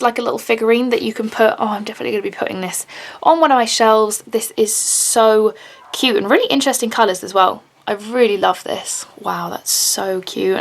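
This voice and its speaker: Gushing raspy woman vlogger. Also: fairly high pitch. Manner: gushing, excitable, many "oh my God" and gasps. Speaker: vlogger unboxing handmade clay charms. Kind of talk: casual unboxing chat.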